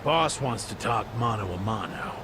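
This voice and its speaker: A man voicing a blustery, slightly dim yakuza henchman in an English game dub, quick to bicker. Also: on the low side, lively intonation, noisy background, close to an everyday voice. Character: hotheaded gravelly male yakuza henchman, dim.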